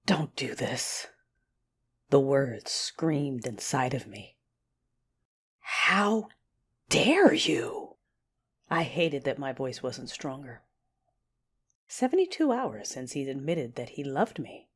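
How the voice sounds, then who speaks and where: Husky, intense female Sith warrior. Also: extremely low pitch, sing-song, slow pace, long pauses, full character voice. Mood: hurt, disbelieving. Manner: intimate, breathy, intense delivery. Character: passionate young female Sith warrior, wounded and fierce. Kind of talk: first-person dramatic narration in an audio drama.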